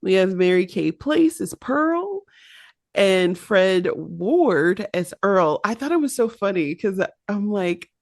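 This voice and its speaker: A woman's voice: mid-range voice, very animated, slow pace, darkish tone. A woman with a Midwestern accent, co-hosting a casual pop-culture podcast and laughing often. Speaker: podcast co-host.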